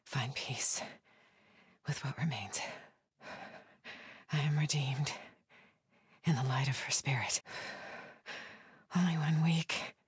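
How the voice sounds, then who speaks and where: A devout female cult soldier from a video game. Her low voice is extremely husky and breathy, and she speaks slowly and solemnly in a hushed, reverent way. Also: dry recording, clean studio sound, exaggerated voice. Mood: hushed prayer.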